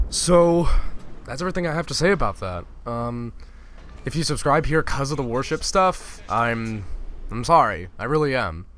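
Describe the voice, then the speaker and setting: A man's voice: medium pitch, sharp tone, slight background noise. A young man from Finland, a gaming YouTuber, ranting in a casual, exasperated and sarcastic vlog.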